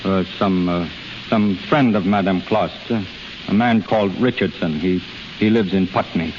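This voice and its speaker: Man acting an intense low-voiced healer, old radio. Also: background noise, natural voice. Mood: wry, gentle. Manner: halting, emphatic, repeats phrases. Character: intense, commanding, tender male healer to an invalid woman. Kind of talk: 1930s radio drama acting. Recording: muffled 1930s radio transcription, music behind.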